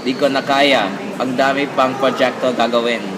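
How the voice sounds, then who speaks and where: A man acts an overburdened male student in an amateur radio play. His voice is mid-pitched, with loud outdoor-like noise throughout the recording. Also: little pitch movement, moderate pace, lightly acted. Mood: weary, defeated. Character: overwhelmed male student close to giving up.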